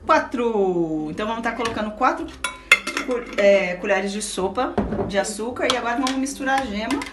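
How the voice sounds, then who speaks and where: A woman's voice: a little high, moderate pace, noisy background. Chatty woman coaxing a child. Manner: chatty, patient, coaxing and encouraging. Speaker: cooking show host and aunt. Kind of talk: casual cooking demonstration with a child. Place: small home kitchen, indoors. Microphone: camera held by a helper a few feet away. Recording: roomy kitchen, distant camera, music behind.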